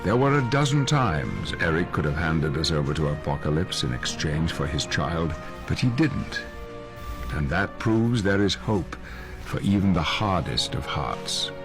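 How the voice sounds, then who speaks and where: A man's voice: low-pitched, big pitch swings, measured pace, over music, exaggerated voice. A man playing an idealistic, dignified mentor in a video game cutscene, earnest and stately. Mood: hopeful.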